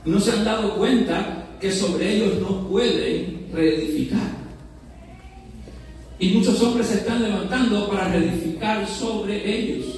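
High-voiced man preaching in an echoey hall. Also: faint music behind. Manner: fervent preaching with shouted rises and slow emphasis. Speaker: pastor. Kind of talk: sermon. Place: church sanctuary. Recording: echoey church hall from far off.

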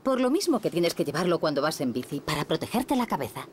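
A woman's voice: low voice, sing-song, fast, slightly rough, exaggerated voice. A woman voicing a patient, reassuring young mother in a children's cartoon, with a Castilian Spanish accent. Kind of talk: children's cartoon dub acting.

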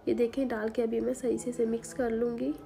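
A woman, hoarse from a sore throat, giving a chatty home cooking voice-over with many fillers. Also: a little high, flat delivery, a little fast, warm tone. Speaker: cooking channel host. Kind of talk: recipe voice-over. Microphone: voice-over recorded separately.

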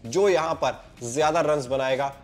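A young man with a Hindi accent presenting a cricket pitch report in a brisk, emphatic, scripted way, filmed head and shoulders in an indoor room. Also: faint music behind. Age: young adult.

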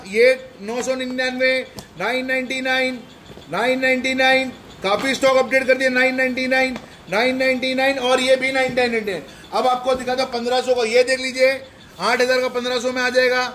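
High-voiced man rattling off sale prices. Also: a bit bright, slight background noise. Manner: repetitive rapid-fire price listing. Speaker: shop salesman. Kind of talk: promotional sale walkthrough. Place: large indoor shoe and sportswear store.

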